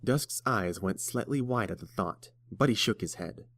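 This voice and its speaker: A man's voice: on the low side, animated, normal speed, a bit bright, dry recording, clean studio sound. A man reading scripted third-person narration for a fantasy story.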